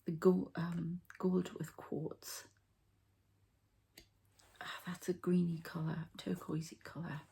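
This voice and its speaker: A woman softly whispering an ASMR healing ritual, breathy and halting, as if thinking aloud. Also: fairly low pitch, flat delivery. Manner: unhurried, halting, thinking aloud while handling objects. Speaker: host, energy healer and card reader.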